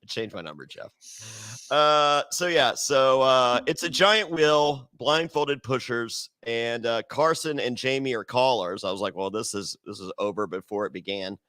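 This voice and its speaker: A man's voice: medium pitch, moderate pace, pushed and bright, no echo. A man hosting a casual recap chat, joking and laughing often, with frequent filler uhs. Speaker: podcast host.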